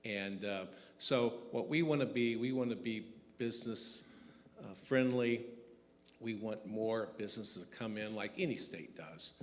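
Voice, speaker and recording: A man, a state legislator, giving a halting, hedging answer at a public forum. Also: fairly low pitch. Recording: reverberant hall with faint background noise.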